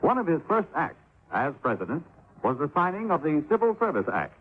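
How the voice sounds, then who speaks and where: Male announcer, animated quizzing read, thin tinny old radio. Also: on the high side, a little fast, slight background noise.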